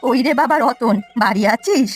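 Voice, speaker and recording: Cartoon granny played by a woman: old, plaintive, repentant village miser, higher pitch, light music underneath. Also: animated, moderate pace, breathy edge. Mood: pleading. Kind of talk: Bengali cartoon story voice acting.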